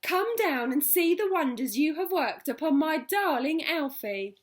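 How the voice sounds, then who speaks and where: Woman doing a shrill overjoyed lady. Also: a little slow. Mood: gushing. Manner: shrill, squealing with excitement. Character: excitable woman, middle-aged, fond of her pet tortoise.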